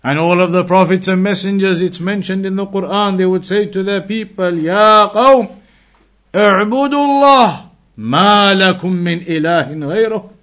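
A man's voice: high voice, fairly quick, a bit bright. A man giving a religious lesson in a didactic, emphatic way, repeating key phrases and reciting quotations. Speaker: Islamic teacher.

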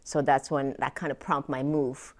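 A low-pitched woman from Taiwan speaks as an interview guest. She tells her stories in an animated way, with plenty of ums and small laughs. Her voice sits deep for a woman. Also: normal speed. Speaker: guest, French horn player. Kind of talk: conversational interview.

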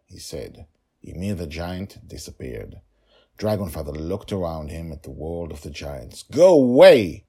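A man with a husky, rough-edged voice narrating his own fantasy story as a podcast host, with dramatic emphasis and deliberate pauses. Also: deep voice, warm tone.

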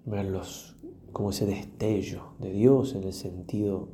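A low-voiced man leads a guided prayer as a priest. His delivery is very slow and solemn, leaving long pauses and letting phrases trail off softly. The timbre is dark and mellow. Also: fairly even intonation, a little hiss or hum. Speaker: priest leading a guided meditation.

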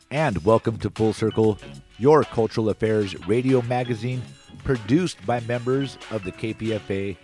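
A man with an American accent and a fairly low voice presents a radio magazine as its host. His delivery is scripted, made for broadcast. Music plays faintly behind him. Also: darkish tone. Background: music bed.

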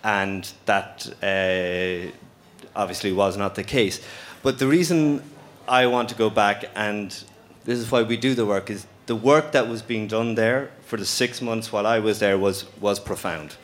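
A man, a pediatrician and former medical coordinator, answering a question at a panel Q&A, halting and emotional. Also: fairly low pitch. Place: conference room with audience. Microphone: handheld microphone. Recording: echoey room from far off.

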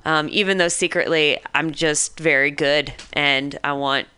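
A woman in her thirties co-hosting a casual podcast, animated and giggly as she tells stories. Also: deep voice, measured pace, clean tone. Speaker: podcast co-host.

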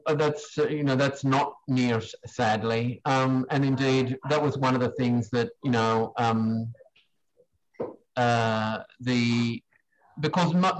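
A man giving unscripted, hesitant answers in a question-and-answer session, halting as he searches for words. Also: medium pitch, low-quality recording. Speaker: guest speaker, screen industry professional answering questions.

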